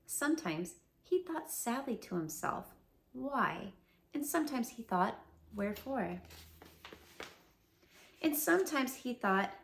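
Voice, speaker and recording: A woman reading a children's storybook aloud, adding chatty asides to her listeners. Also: sits high. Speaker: storybook reader. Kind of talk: children's read-aloud narration.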